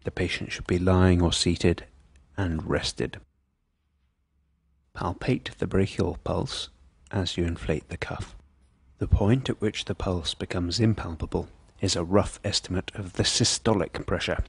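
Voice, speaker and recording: A man reading scripted medical instruction in a measured, precise, impersonal manner. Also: deep voice, steady tone, creaky, husky, no room sound. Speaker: instructional narrator.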